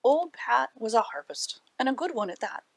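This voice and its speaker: A woman reading aloud to children as a library storyteller, animated and dramatic with deliberate phrasing. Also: fairly high pitch, creaky.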